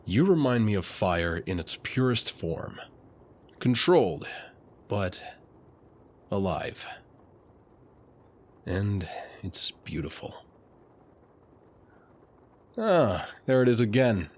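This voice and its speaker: A man playing a suave, teasing, flirtatious military officer and alchemist in an intimate roleplay audio, speaking smoothly. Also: fairly low pitch, expressive. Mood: teasing, admiring. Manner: smooth, unhurried, playfully teasing.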